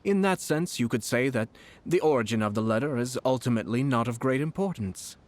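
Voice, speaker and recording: An actor voicing a composed, courteous nobleman official in a video game, formal, measured and subtly sly. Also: medium pitch, animated, lightly acted. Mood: tactful, sly. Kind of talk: video game voice acting, scripted dialogue.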